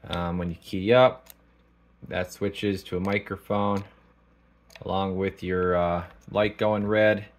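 A man giving a casual product demonstration as a technician, thinking aloud in a halting, informal way. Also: low voice, fairly even intonation. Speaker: radio technician. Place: small indoor electronics workshop.

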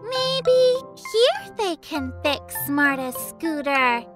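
A woman's voice: high-pitched, big pitch swings, extremely slow, over music, heavily acted. A woman voicing a dramatic, fretful little girl in a children's cartoon, theatrical and exclaiming. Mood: curious.

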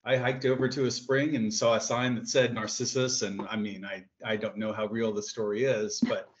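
A man's voice: lowish voice, little pitch movement, moderate pace. A man from Tennessee asking a question on a video call with his camera off, hesitant, with frequent uhs and ums. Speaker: audience member asking a question. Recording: dull lo-fi call audio.

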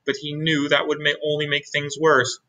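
A middle-aged man reading a children's picture book aloud expressively, with dramatic pauses. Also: on the high side, completely flat delivery, sharp tone, highs cut off.

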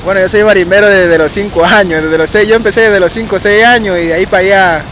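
A young man, a street marimba player, giving relaxed, hesitant answers outdoors in a park. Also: high-pitched, fast talker, a little hiss or hum. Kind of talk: casual interview answers.

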